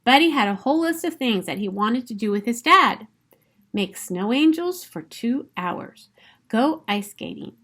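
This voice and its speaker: A woman reading a children's picture book aloud in an expressive, emphatic storytelling manner. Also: fairly high pitch, unhurried.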